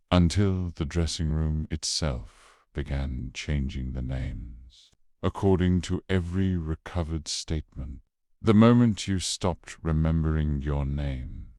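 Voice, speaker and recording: A man with an extremely deep voice narrates a horror case file in documentary style. His delivery is slow and solemn, with deliberate dramatic pauses. The sound is close and dry. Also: darkish tone, no echo.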